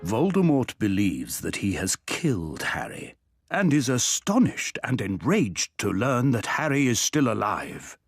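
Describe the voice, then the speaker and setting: A man voicing the dramatic storyteller of a fantasy video game, reading scripted narration in a measured way. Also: fairly low pitch, huge pitch swings, breathy edge, dry recording, soft background music, heavily acted. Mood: dramatic, grave.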